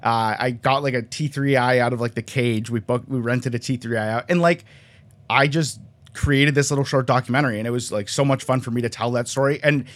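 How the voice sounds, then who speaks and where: A man in his twenties from Canada, a guest on a podcast, talking in a quick, chatty way with lots of fillers. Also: medium pitch, fairly even intonation, a bit bright. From Canada, raised near Toronto; born in Colombia. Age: 20s. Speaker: podcast guest, sports cinematographer. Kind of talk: casual interview chat.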